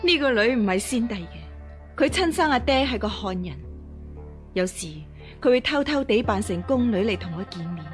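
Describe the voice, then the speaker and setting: An actress playing a scheming impostor empress dowager in a radio drama, deferential, hesitant and pleading. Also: female voice, fairly high pitch, animated, normal speed, soft background music, natural voice. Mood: earnest, pleading. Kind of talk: Cantonese radio drama acting.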